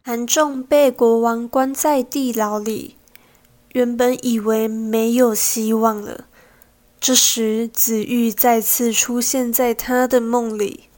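A woman's voice: fairly high pitch, flat delivery, takes it slowly, a little mellow. A young woman reading scripted narration for a student radio drama. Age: young adult.

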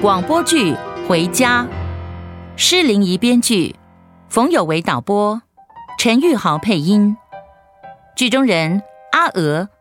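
A woman reading a scripted announcement as a radio drama announcer. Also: mid-range voice. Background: faint music.

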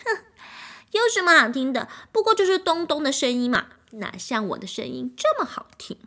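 A woman's voice: very high voice, lively intonation, slow, sharp tone, voice pushed up, heavily acted. A woman voicing a proud, jealous male violin in a children's storybook, whiny and demanding. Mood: scornful.

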